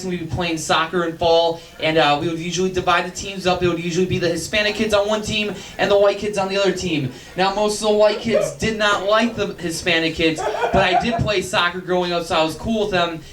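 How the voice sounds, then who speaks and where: A man in his late twenties doing a stand-up set, nerdy and self-deprecating with quick asides. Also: high-pitched, a bit bright, a little hiss or hum. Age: 20s. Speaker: stand-up comedian. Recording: echoey club, far mic, crowd.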